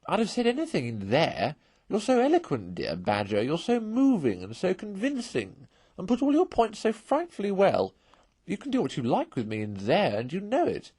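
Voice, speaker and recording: A vain, defiant toad of a gentleman from a storybook, played by a man. He gushes and insists in a high, swooping voice, recorded close and dry. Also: wildly swooping pitch, normal speed, breathy edge, dry recording, full character voice. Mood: impatient, flattering. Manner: effusive, emphatic, impatient.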